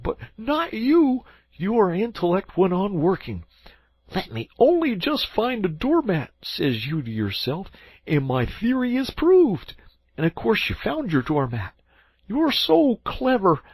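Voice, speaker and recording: A small storybook mole who gushes and teases, his voice swooping up and down with mock admiration, as a reader plays him. The sound is husky and recorded close and dry. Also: mid-range voice, dry recording. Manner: gushing, playfully sarcastic flattery. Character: admiring, teasing little male mole.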